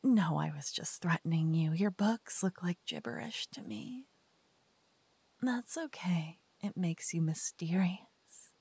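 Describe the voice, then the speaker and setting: A woman voicing a cocky, playful lover who whispers and teases softly in an ASMR roleplay. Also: lowish voice, takes it slowly, vocal fry, breathy edge, dry recording. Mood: playful teasing. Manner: playful, teasing, soft whispering. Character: cocky playful young woman, a devoted lover waking her partner. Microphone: binaural mic.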